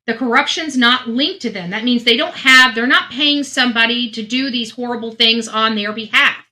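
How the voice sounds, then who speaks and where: A woman's voice: a little high, normal speed, sharp tone. A woman giving casual true crime commentary, earnest and emphatic, often restarting her sentences.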